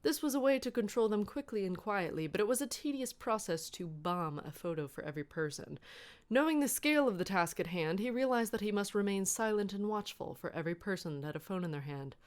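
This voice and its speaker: A woman's voice: medium pitch, expressive, fairly quick. A woman reading scripted comic fan fiction aloud as its narrator.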